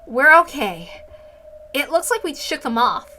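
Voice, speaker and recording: A woman's voice: sits high, very animated, unhurried, bright, forceful tone, slight background noise, exaggerated voice. A woman voicing a brash, spirited teenage girl leader in an amateur reading of a horror visual novel. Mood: confident, spirited.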